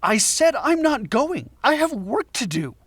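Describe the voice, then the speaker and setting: Audio drama role: haunted male academic played by a man, husky, swinging widely in pitch, tense and defiant. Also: big pitch swings, unhurried, a bit bright, dry recording, heavily acted. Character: agitated, reluctant male anthropology professor haunted by visions. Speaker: actor.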